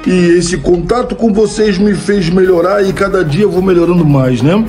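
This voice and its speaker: A man's voice: high-pitched, moderate pace, a little mellow, faint music behind. A middle-aged man with a Brazilian Portuguese accent, chatting casually into his phone as a comedy creator. He answers viewer questions, laughs at his own jokes and keeps asking "né?". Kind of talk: casual Q&A monologue. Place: home room, indoors. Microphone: old phone camera, close framing.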